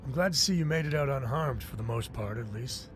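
An actor playing a gracious older mercenary patron in a video game cutscene, speaking in a measured, formal, articulate way. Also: male voice, mid-range voice, animated, faint music behind, natural voice. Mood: congratulatory.

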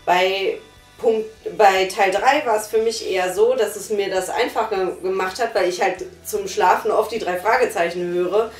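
A woman's voice: medium pitch, normal speed, roomy sound. Tired young woman talking it through on a sofa, distant camera mic, faint music behind. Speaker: podcast reviewer. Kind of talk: casual review chat. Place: living room, sitting on a sofa. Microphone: far camera.

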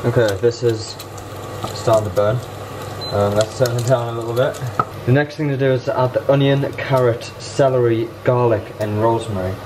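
A young man talks his way through cooking, bemused and mocking himself. His voice sits at a middle pitch and he is recorded on a camera in a home kitchen. Sizzling and stirring can be heard clearly behind him. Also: steady tone, normal speed, background noise. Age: young adult. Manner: bemused, self-mocking, chatty. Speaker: vlogger. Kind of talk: casual cooking vlog.

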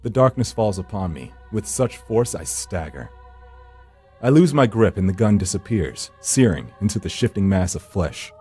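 Grim monster hunter, low dark voice, brooding, solemn game narration, faint music behind. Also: male voice, very animated, normal speed, slightly rough. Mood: grim, intense. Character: grim, world-weary male supernatural rescuer narrating his hunt. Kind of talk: dramatic scripted first-person narration.

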